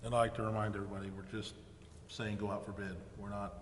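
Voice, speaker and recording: Man, quick, sharp voice, chairing meeting, echoey hall, off-mic. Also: lowish voice, little pitch movement. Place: council chamber. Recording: echoey hall, distant, faint noise.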